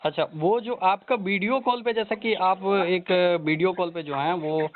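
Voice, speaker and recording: A man's voice: sits high, normal speed, noisy background. A man runs an informal phone interview. He probes for detail and echoes answers back. The line sounds narrow and dull. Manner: probing, repeats answers back. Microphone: phone call. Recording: thin narrowband phone call recording.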